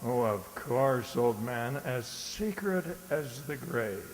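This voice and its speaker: A man acting a smug, sly, old-fashioned gentleman and false friend in a radio drama, genteel and insinuating. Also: medium pitch, lively intonation, slow pace. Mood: slyly reassuring. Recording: echoey hall, faint noise behind.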